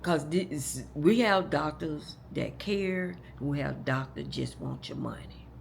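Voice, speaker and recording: A hoarse woman, telling a long story to her viewers in a casual, rambling way, with many restarts. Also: deep voice, sing-song, slow pace, slight background noise. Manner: rambling, repeats and restarts, talks straight to "y'all". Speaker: vlogger.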